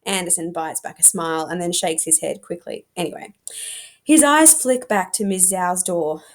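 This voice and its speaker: A woman reading a young adult novel aloud like a teacher, in an informal, expressive storytelling manner. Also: lowish voice, normal speed.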